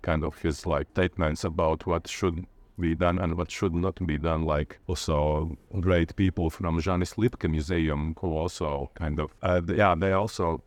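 Low-voiced Latvian man, hesitant. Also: fairly even intonation, moderate pace, hardly any pauses, dry recording. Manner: hesitant, searching for words with repeated starts. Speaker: interviewee, literature lecturer. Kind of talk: conversational interview.

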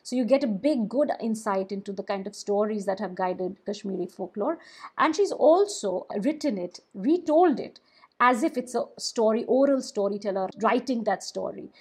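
Brief: Bengali-native woman podcaster, mid-pitched, reflective, self-correcting. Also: animated, moderate pace, a little mellow, husky. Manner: thoughtful, with small restarts. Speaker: storyteller and podcaster. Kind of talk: casual podcast discussion.

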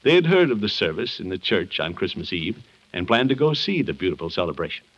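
An American actor playing a kindly, jovial middle-aged uncle in a 1950s radio comedy, with an avuncular, theatrical storyteller's delivery. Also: male voice, low voice, big pitch swings, a little fast. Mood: gentle storytelling. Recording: muffled old 1950s radio broadcast.

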